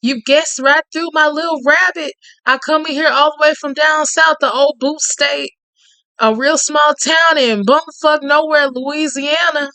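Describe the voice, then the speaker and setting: A woman doing a husky Cajun charmer. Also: fairly even intonation, unhurried, no room sound, exaggerated voice. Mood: folksy. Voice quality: husky, drawling, low. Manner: confident, teasing, quietly threatening. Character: smooth, menacing, flirtatious Cajun man from the South.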